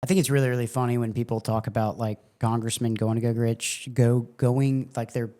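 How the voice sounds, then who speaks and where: This is a man hosting a podcast interview. His voice sits at an ordinary middle pitch. He talks in a loose, casual way and leans on filler words. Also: a little fast. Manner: relaxed, conversational, many fillers. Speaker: podcast host.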